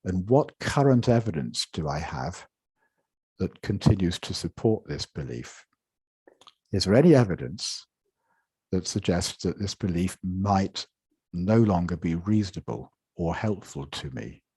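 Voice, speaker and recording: A man giving a measured conference lecture from slides, with frequent repetitions and restarts, speaking over an online video call. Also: sits low, expressive, dark tone, husky, no echo. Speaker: conference presenter.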